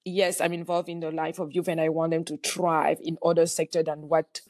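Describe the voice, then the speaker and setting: Woman, halting, many fillers, lower voice. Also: flat delivery, darkish tone, no room sound, clean studio sound. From Cameroon. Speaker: guest entrepreneur. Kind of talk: conversational interview answer.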